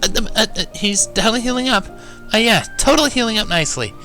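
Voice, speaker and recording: A man's voice: sits high, lively intonation, fast, sharp tone, faint music behind, pitched above the performer's own voice. A man voicing a brainy, caring female unicorn who speaks earnestly and gets easily flustered, in a fan fiction reading. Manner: earnest, explanatory. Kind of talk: fan fiction storybook character voice.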